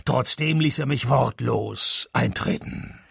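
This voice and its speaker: Sardonic private eye, heavily husky and gravelly, slightly high, deadpan, close dry sound, radio noir. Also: male voice, slow, dry recording, heavily acted. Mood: dry, narrating. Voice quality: raspy, gravelly. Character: sardonic, world-weary male private detective narrating his own case. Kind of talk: German-language comic noir radio play.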